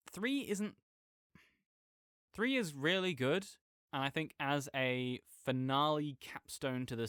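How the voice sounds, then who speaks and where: A man's voice: mid-range voice, fairly bright tone, clear, resonant tone, no echo, clean studio sound. A man playing a chatty, nerdy roommate who rambles enthusiastically about a video game in a casual, digressive audio roleplay monologue. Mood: earnest, rambling.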